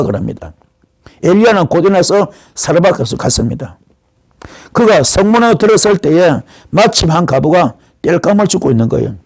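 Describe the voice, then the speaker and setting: High-voiced male pastor preaching. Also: a little mellow, dry recording. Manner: storyteller acting out dialogue, rhetorical questions. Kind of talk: sermon retelling Bible stories.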